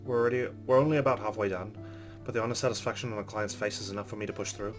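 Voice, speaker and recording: A man's voice: lowish voice, steady tone, racing, music in the background. A man hosting a let's play, reading game narration aloud and adding casual commentary.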